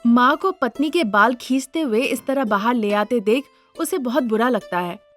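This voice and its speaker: A woman's voice: on the high side, animated, normal speed, faint music behind. A woman narrator reading a scripted cartoon story as a storyteller.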